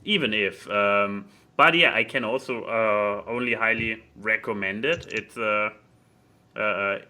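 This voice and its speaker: A man co-hosting a casual podcast, speaking in a halting, stammering way. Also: on the low side, bright, forceful tone. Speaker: podcast co-host.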